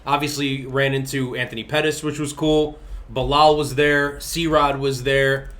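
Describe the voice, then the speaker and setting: Laid-back young man, mid-pitched voice. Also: flat delivery, a little slow, a bit bright. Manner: laid-back, agreeable, plugs the channel. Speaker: podcast co-host. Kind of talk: casual chat.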